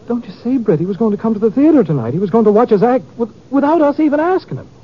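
A man's voice: very high voice, animated, rapid-fire. A man playing a despairing, theatrical stage actor in a 1950s radio drama, declaiming his woes. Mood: awed, agitated. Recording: muffled, noisy 1950s radio recording.

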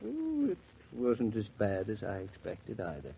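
An actor playing a teasing, good-humored young husband in a 1940s radio drama, with playful, mock-grand banter. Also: male voice, lowish voice, wildly swooping pitch, measured pace, vocal fry, breathy edge, exaggerated voice. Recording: muffled 1940s radio recording.

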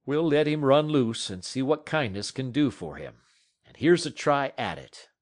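A man's voice: mid-range voice, lively intonation, moderate pace, voice pushed up, close to an everyday voice. A man voicing an earnest dog owner who talks urgently and persuasively.

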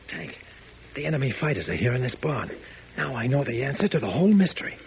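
An actor playing a calm, decisive young pilot hero in a 1940s radio drama, giving firm, clipped orders. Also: male voice, medium pitch, fast talker, creaky, muffled recording. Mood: excited. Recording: hissy old radio broadcast.

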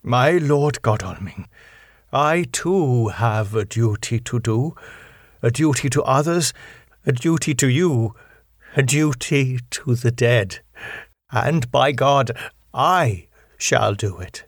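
A man voicing a stern, solemn elderly foreign doctor who pleads gravely in an audiobook story. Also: medium pitch, lively intonation, takes it slowly, no echo, clean studio sound, full character voice.

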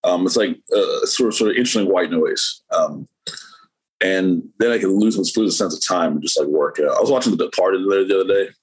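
A man with a lowish, husky voice chats loosely as an interview guest. He rambles, trails off and restarts often, filling gaps with ums and likes. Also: a little fast, poor audio. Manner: rambling, many fillers and restarts. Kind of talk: casual interview conversation.

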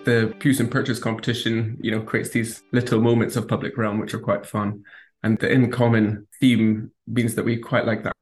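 A man architect calmly explaining his bench design with measured phrasing and small fillers. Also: fairly low pitch, soft background music. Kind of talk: interview answers.

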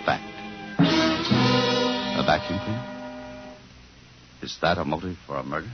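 An actor playing a tough, dogged police detective in a 1950s radio thriller, speaking in clipped, insistent lines. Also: male voice, low voice, wildly swooping pitch, slow pace, exaggerated voice. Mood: determined narration. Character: tough, dogged male police detective defending his wife. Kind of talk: 1950s radio thriller acting, hard-boiled narration. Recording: muffled vintage radio recording with music.

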